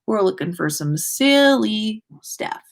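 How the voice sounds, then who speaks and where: A woman's voice: mid-range voice, lively intonation, slow, vocal fry. A woman hosting a casual story livestream, reading aloud expressively and chatting with listeners. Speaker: podcast host reading listener fan fiction aloud.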